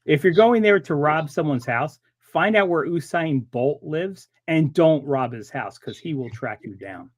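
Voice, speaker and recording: A man chatting casually on a stream, cracking jokes and reacting quickly. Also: fairly high pitch, lively intonation. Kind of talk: casual chat.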